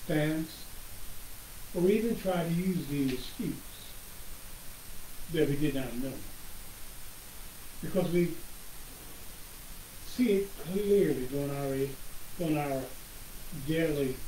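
An older man preaching as a pastor from his home office with a slightly hoarse voice, reading scripture in a slow, measured way and clearing his throat at times. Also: a little high, dark tone. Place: home office, seated at a desk. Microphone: webcam across the room. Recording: roomy webcam recording with hiss.

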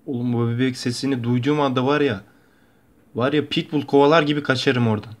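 Fast-talking young man reacting casually. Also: mid-range voice, steady tone. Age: young adult. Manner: slangy, joking. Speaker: YouTube host reacting to a horror cartoon. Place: bedroom at home.

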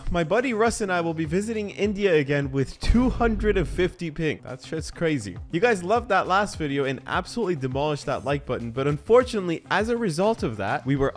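A man hosting a gaming video, joking and laughing as he chats over the game. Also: fairly high pitch. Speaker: gaming YouTuber. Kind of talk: casual gaming commentary and chatty intro. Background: background music.

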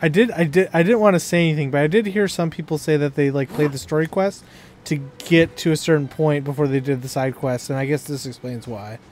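Higher-voiced man chatting while gaming. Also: steady tone, a little fast, a little mellow, slight background noise. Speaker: co-op gamer. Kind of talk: casual chat while gaming. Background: game music.

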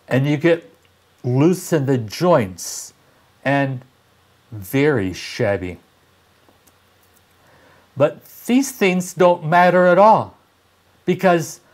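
Very slow, animated older male storyteller. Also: mid-range voice. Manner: deliberate, dramatic pauses and emphasis. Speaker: teacher reading a children's story aloud. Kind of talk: expressive read-aloud storytelling for young children. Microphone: lapel mic.

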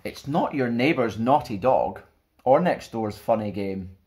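Young man, children's storytime host, very lively pitch swings, medium voice, expressive delivery. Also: unhurried. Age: young adult. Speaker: storytime host reading a picture book. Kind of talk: expressive children's read-aloud with friendly presenting. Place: small plain indoor room.

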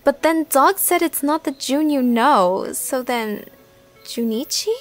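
A puzzled young female narrator played by an actress thinks aloud in a casual audio drama style. She speaks slowly in a high voice over faint music. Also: lively intonation.

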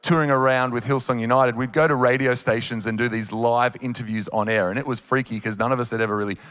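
Australian man, 30s, earnest encouraging sermon, handheld mic, mid-pitched voice, live hall reverb. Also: steady tone, fast, fairly bright tone. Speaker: worship leader preaching. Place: large church auditorium on stage. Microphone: handheld microphone.

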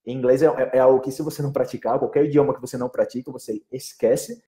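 A man, teaching in a casual live stream, animated and often checking that listeners follow. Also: medium pitch, quick pace. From Northeast Brazil. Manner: animated, gestures a lot, often asks "entendeu?". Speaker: Portuguese teacher.

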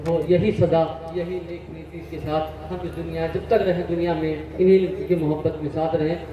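A man's voice: little pitch movement, normal speed, lo-fi sound. Man, impassioned devotional preacher, repeats lines for emphasis, heavy PA echo, crowd murmuring behind. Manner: impassioned, repeats phrases for emphasis, rising to near-shouts. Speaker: religious speaker at an urs gathering. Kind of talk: Urdu-flavoured devotional speech. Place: large crowded outdoor or hall gathering at night. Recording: loud booming PA echo from a distance.

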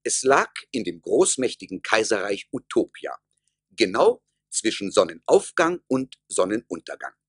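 A male storyteller narrating a fairy tale for a radio play. His voice is fairly low and husky, recorded very dry, with measured, wry delivery. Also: very animated, dry recording.

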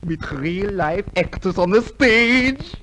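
Excitable crackpot professor, old 50s radio comedy, really animated, bit of hiss. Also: male voice, high-pitched, slow pace, full character voice. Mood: boastful, excitable. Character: eccentric boastful foreign professor, crackpot inventor. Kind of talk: 1950s radio comedy sketch acting. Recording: noisy 1950s radio show recording.

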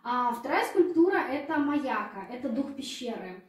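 A woman working as a cave guide, explaining informally and haltingly, with many pauses. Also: high voice, sing-song. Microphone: handheld camera a few steps away. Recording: echoey, distant, noisy cave recording.

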